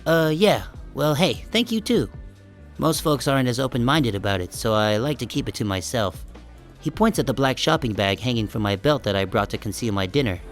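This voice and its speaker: A man playing a short, self-conscious sheep who narrates an audiobook in a wry, deadpan, casual first-person style. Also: medium pitch, fairly quick, soft background music, natural voice. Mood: awkward, friendly.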